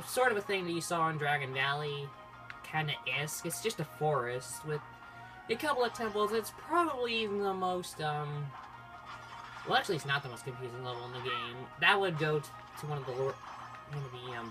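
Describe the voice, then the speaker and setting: Halting gamer commentary over game music. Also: medium pitch, low-quality recording. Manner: halting, rambling, self-interrupting. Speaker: gaming video host. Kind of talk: casual playthrough commentary. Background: video game music.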